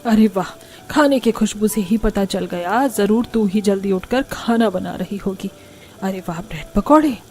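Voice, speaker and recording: A woman's voice: medium pitch, a little slow, a little mellow, a little hiss or hum, close to an everyday voice. A woman voicing a kindly middle-aged mother-in-law in a Hindi family cartoon, affectionate and matronly. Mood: pleased. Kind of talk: Hindi moral-story cartoon dubbing.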